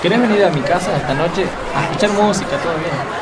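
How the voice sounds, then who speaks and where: A woman's voice: on the low side, quick pace, a loud crowd, very noisy, lightly acted. A woman playing a scheming, pushy teenage girl who feigns friendliness in an amateur radio drama. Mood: inviting.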